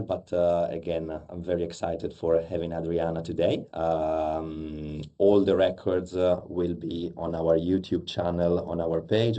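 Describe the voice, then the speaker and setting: A male host with a deep, mellow voice opens a casual live conversation. He talks in halting bursts, stacking fillers as he searches for words in a language that is not his first.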